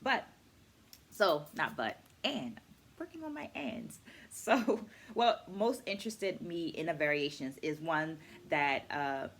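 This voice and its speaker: A middle-aged woman with a slightly low voice hosts a reading vlog. She speaks conversationally to the viewer, laying out each point in a measured, explanatory manner, much like a teacher. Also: animated, fairly bright tone, a little hiss or hum. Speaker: host of a book-takeaway video series. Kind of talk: conversational book review to camera.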